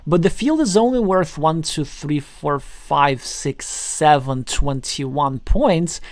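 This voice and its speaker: A man's voice: a little high, slow, dry recording, studio quality. A man whose first language is Russian, giving live board game commentary in an analytical way, thinking aloud as he goes. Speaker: tournament commentator.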